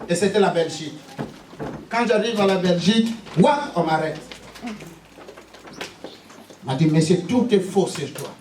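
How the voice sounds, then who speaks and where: A man from Congo preaching a fervent sermon and giving personal testimony, punctuating it with repeated hallelujahs, sometimes shouting, sometimes stumbling and repeating words. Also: high voice, animated, a little slow, pauses often, a little hiss or hum. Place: church. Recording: roomy church hall, mic at a distance, congregation audible. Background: congregation responding.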